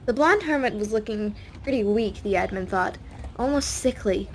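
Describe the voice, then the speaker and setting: A woman's voice: mid-range voice, expressive, normal speed, a little hiss or hum. A woman reading a scripted audiobook story as a dramatic narrator.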